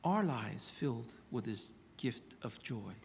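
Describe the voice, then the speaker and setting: Middle-aged male pastor, medium pitch, preaching earnestly from a pulpit in an echoing church hall. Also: expressive, slow, gravelly, a little hiss or hum. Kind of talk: sermon with pauses for interpretation. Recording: reverberant hall through PA.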